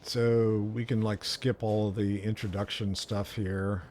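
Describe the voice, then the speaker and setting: A man's voice: lowish voice, flat delivery, takes it slowly, a little mellow, slight background noise. A man streaming a video game, casually thinking aloud in rambling commentary as he plays. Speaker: livestream host playing a video game.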